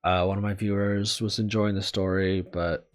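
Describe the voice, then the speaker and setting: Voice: sits low, flat delivery, normal speed, soft background music. A video game streamer reading a visual novel aloud and commenting casually, in a candid, self-deprecating, apologetic way with some swearing.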